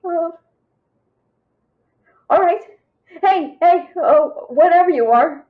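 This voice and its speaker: A woman's voice: very high-pitched, animated, narrow, tinny audio, exaggerated voice. A young woman playing a cheeky, frightened thief who stammers and bluffs in a fantasy audio roleplay. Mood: nervous, resigned. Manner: nervous, stammering, fast-talking bluffer. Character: cheeky, scared young female thief, talking her way out.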